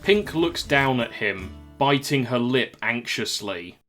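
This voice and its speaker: A man's voice: medium pitch, expressive, slow, fairly bright tone, soft background music. A man narrating a scripted audio drama in the present tense, as a storyteller.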